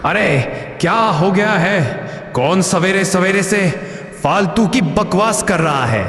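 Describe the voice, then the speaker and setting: A man's voice: high-pitched, animated, slow, fairly bright tone, slight background noise, heavily acted. Man acting a proud aging lion king, echoing reverb. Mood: irritated. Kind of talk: animated moral story dialogue. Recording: echoey, long reverb.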